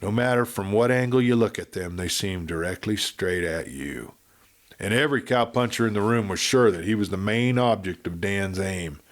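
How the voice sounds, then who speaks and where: A man narrating a Western audiobook with expressive, dramatic storytelling. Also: lowish voice, normal speed.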